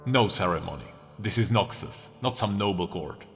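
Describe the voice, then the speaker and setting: A man voicing a hulking, blunt warlord with a rough, growling, common-sounding voice. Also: on the low side, expressive, normal speed, a bit bright, in a large hall, faint music behind, voice pushed down. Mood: gruff contempt. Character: hulking brutish male warlord, blunt and gruff.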